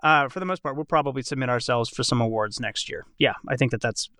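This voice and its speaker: A man's voice: medium pitch, fast talker, pushed and bright, no room sound, clean studio sound. A man hosting a casual podcast panel, talking in a rambling way and qualifying himself as he goes. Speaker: podcast host and voice actor.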